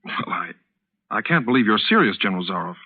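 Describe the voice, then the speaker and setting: Thin phone-like 1940s radio sound, an earnest appalled guest, husky, hesitant, protesting. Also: male voice, fairly low pitch, big pitch swings, fast talker. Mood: disbelieving. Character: earnest, incredulous male guest, appalled by his host. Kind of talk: 1940s radio drama acting.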